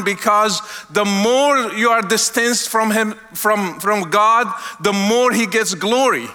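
A high-voiced middle-aged man delivers an earnest sermon. His tone is bright and forward, and he lingers on key words for emphasis. A long reverb trails his voice across a large hall. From Morocco. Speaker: guest speaker. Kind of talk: testimony sermon.

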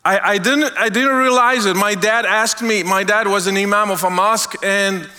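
A middle-aged man from Morocco, sharing an earnest testimony sermon as a guest speaker, slowing down to stress key words. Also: high voice, steady tone, bright, forceful tone. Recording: long hall reverb.

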